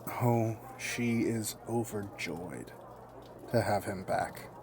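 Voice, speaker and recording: An actor playing a polite, guarded man in an audio drama, with measured and formal phrasing. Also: fairly low pitch, gravelly, a little mellow, slight background noise. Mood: troubled. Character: grieving, guarded, polite male friend.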